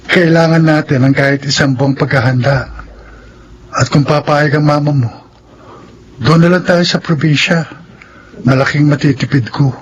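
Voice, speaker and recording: A man's voice: a little high, fairly even intonation, moderate pace, long pauses, natural voice. A man playing an earnest, thrifty and proud young groom-to-be in a radio drama. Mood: earnest, practical. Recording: dull, noisy radio drama recording with ambience.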